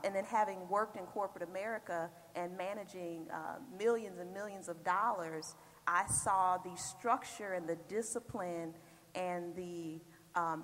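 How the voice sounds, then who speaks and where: Low-voiced woman panelist in echoing hall. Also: fairly bright tone. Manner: measured, reflective, with filler ums. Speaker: panelist and educator. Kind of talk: panel discussion. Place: large church hall. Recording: roomy hall with faint hum.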